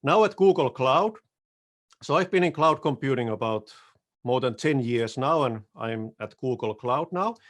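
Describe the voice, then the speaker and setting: A man's voice: mid-range voice, normal speed. Finnish-accented man giving a tech talk. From Finland. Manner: relaxed, self-deprecating, occasional restarts and throat clearing. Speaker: solutions architect presenting. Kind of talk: conference talk over video call. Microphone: headset on video call.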